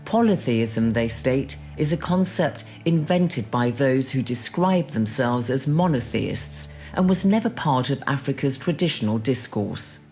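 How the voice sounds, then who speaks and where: A woman with a very low voice presents a documentary, delivering scripted narration and interview questions at a measured, even pace. Soft music runs faintly underneath.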